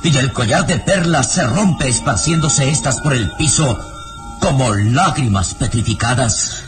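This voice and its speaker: A man's voice: medium pitch, expressive, a little slow. Male radio drama narrator. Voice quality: ominous storytelling delivery. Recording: radio drama with music bed.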